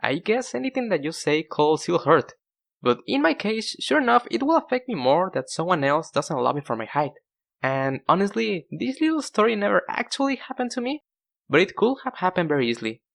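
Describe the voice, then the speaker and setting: A man's voice: sits high, very animated, a little fast, vocal fry, fairly bright tone. Self-deprecating young man telling stories. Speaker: narrator of an animated storytime video. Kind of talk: casual scripted storytelling with acted dialogue.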